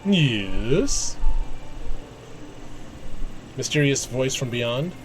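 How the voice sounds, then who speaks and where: A man with a fairly high, animated voice commentates casually as he plays a video game. He muses aloud to himself, with game music softly behind him. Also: normal speed. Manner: musing, talks to self while playing. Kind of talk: casual live gameplay commentary.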